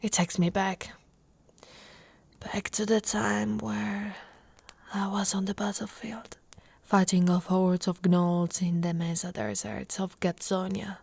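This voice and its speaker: Casting: woman to play a brawny female orc wife for ASMR roleplay; unhurried, teasing, intimate delivery with whispered dips, recorded close and dry. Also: mid-range voice, flat delivery, vocal fry, darkish tone, husky, dry recording, heavily acted. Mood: nostalgic. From Italy. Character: brawny affectionate female orc warrior wife, playfully boastful.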